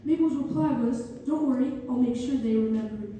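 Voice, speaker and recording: Heard from far off in a reverberant hall, a woman plays a young architect in a stage read-through. The voice is high and very soft-edged and dark, with an earnest, fond storytelling manner. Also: a little fast, natural voice. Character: earnest young male architect fondly recalling a patron. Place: reverberant hall, community theater performance. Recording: echoey hall from far off, faint noise.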